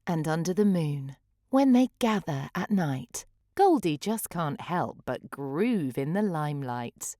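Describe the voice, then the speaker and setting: Lively, measured woman reading a children's story. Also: deep voice, no room sound, clean studio sound. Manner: theatrical, rhythmic delivery of rhyme. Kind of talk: expressive picture-book read-aloud.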